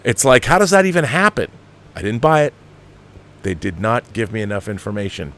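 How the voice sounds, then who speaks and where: An American man in his fifties with a fairly low voice gives opinionated commentary as a show host. He speaks emphatically and with exasperation, doubling back on phrases to drive them home. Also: animated, brisk, a bit bright. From United States. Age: 50s. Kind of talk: opinionated film commentary, reading viewer questions.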